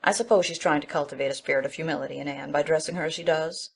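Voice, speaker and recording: A woman playing a brisk, bossy village busybody. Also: low-pitched, steady tone, creaky, slight background noise. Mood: opinionated, indignant. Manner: self-assured, chatty, decisive. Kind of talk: audiobook dramatic reading.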